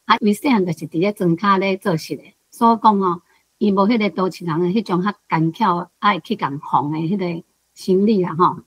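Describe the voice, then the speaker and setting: A woman in her sixties with a Taiwanese accent, a language teacher sharing personal reflections in deliberate, particle-punctuated phrases. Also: lowish voice, slightly rough, no room sound, low-quality recording. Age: 60s.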